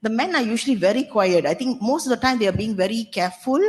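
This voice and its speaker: A woman's voice: on the high side, animated, fast talker, big-room sound. Candid woman on a conference panel. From Malaysia. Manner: candid, emphatic, frequent "you know" and restarts. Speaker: panelist, senior legal counsel. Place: conference hall.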